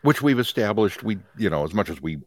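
A man with a low-pitched voice co-hosts a casual fan discussion. He gives firm opinions with emphasis, though he often stops and restarts on the way to them. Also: very animated, a little fast, no echo. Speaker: podcast co-host.

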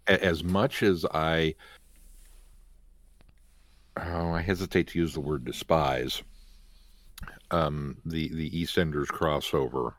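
A man with a low-pitched voice co-hosts a casual fan discussion. He gives firm opinions with emphasis, though he often stops and restarts on the way to them. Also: steady tone, slow, long pauses, no echo. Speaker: podcast co-host.

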